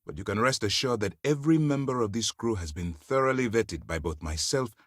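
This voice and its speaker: An actor playing a stern, principled middle-aged military commander in a space video game, speaking in a measured, formal, authoritative way. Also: male voice, fairly low pitch, animated, no room sound, studio quality. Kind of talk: dramatic video game dialogue.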